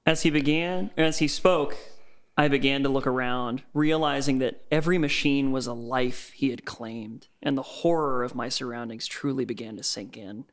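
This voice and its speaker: A man's voice: medium pitch, normal speed, lightly acted. A man playing a bitter, sinister tech worker in a dramatic, sardonic first-person horror story reading. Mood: dawning horror. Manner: theatrical, sardonic.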